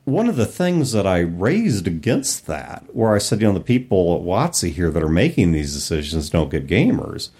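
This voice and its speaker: A man's voice: low voice, wildly swooping pitch, normal speed, hardly any pauses, darkish tone, husky. A man hosting a casual panel discussion, talking in an analytical way and explaining with acted-out examples. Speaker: podcast host.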